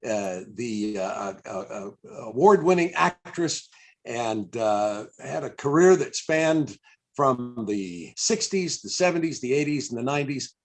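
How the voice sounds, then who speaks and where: A middle-aged American man hosting an online event in a casual, chatty, halting way on a video call. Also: mid-range voice, expressive. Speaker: event host and interviewer.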